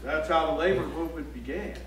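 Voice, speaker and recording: A man's voice: a little high, fast talker, slight background noise, close to an everyday voice. An actor playing an emphatic male reporter reciting strike news on stage, recorded from a distance in an echoey auditorium.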